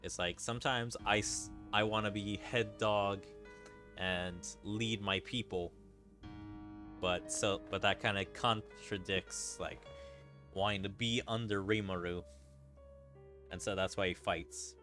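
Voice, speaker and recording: Male gaming streamer, slightly deep, very slow halting delivery, casual commentary with soft game music underneath. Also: fairly even intonation. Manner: halting, thinking aloud casually. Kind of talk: reading game dialogue aloud with off-the-cuff commentary.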